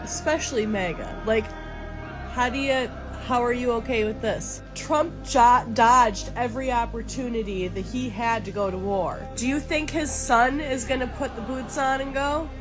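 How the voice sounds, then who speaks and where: Outraged high-voiced woman over loud music. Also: normal speed. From United States. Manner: outraged, sarcastic, profane. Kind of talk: social media rant video. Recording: clip with loud music underneath.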